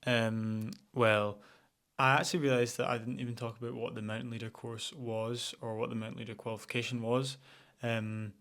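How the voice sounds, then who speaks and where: Relaxed young Scottish man, flat voice. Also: mid-range voice, normal speed, creaky. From Scotland. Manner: relaxed, many ums and restarts. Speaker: vlogger, outdoor instructor. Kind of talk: casual vlog talk.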